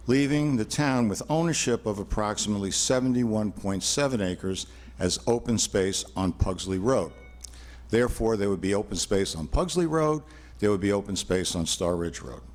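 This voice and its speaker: Loud older man, lawyer at a podium mic. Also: fairly low pitch. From New York, USA. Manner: loud, measured, with frequent uh fillers. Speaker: attorney addressing a town board. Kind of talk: formal public presentation. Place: town hall meeting room. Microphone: podium microphone.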